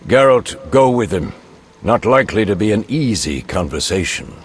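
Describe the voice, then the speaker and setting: An actor playing a cautious senior mentor of monster hunters in a video game, deliberate and authoritative. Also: male voice, fairly low pitch, expressive, slight background noise, close to an everyday voice.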